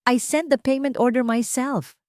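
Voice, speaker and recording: A woman's voice: high voice, steady tone, moderate pace, a little mellow, dry recording, clean studio sound, voice pushed up, lightly acted. A woman voicing an arrogant, irritable male business executive in a dramatic story, scornful and mixing in English. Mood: angry, shouting. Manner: scornful, code-switching into English.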